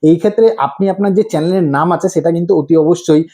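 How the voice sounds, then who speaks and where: A man walks viewers through a tutorial in a high, mellow voice. He talks quickly, repeats each step and keeps asking rhetorical questions before answering them. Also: husky. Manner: instructive, repetitive, prompting viewers with rhetorical questions. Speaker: tutorial host.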